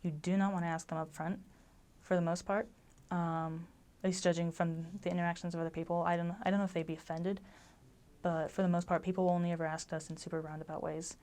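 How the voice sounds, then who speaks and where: A woman's voice: fairly low pitch, little pitch movement, fast talker, darkish tone. A young woman giving casual advice about living abroad, rambling with lots of ums and likes. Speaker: former resident giving advice.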